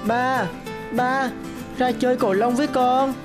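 A woman's voice: high voice, steady tone, measured pace, music in the background. A woman voicing a lonely, eager little boy in a cartoon, childlike and earnest. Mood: pleading. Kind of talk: cartoon voice acting.

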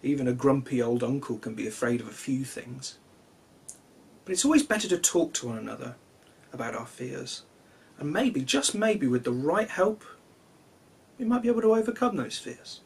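A man in his thirties reading children's stories expressively, with deliberate dramatic pauses, recorded in a living room. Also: mid-range voice, lively intonation, slightly rough. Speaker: children's storyteller. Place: small cluttered living room, indoors.

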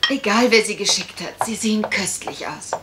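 Gentle young woman, acted, very lively intonation. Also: medium pitch, moderate pace, noisy background, lightly acted. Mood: eager, delighted. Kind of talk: German radio mystery play acting.

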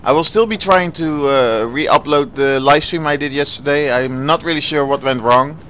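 A Dutch man with a Dutch accent, a native Dutch speaker, giving relaxed, chatty commentary over gameplay and sometimes searching for words. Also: on the high side, normal speed, slight background noise. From Netherlands. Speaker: gaming channel host.

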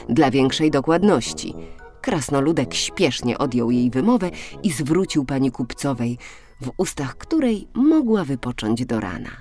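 A storyteller giving an expressive, dramatic scripted narration of a children's audiobook. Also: mid-range voice. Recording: music under the voice. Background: music.

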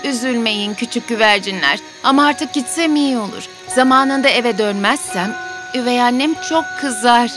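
A woman playing a kind, gentle young stepdaughter in an animated fairy tale. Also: fairly high pitch, normal speed, over music, close to an everyday voice. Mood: kind, concerned.

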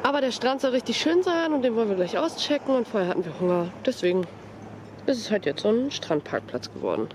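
Young woman, travel vlogger, slight cold, excited casual slang, outdoor camera audio. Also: on the high side, fast, noisy background. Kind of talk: casual travel vlog chat. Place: outdoors on the coast.

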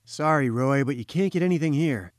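A man's voice: on the high side, animated, a little fast, no echo, studio quality, close to an everyday voice. A man voicing a genial, wry journalist in a storybook, friendly but firm, with breezy old-chap slang.

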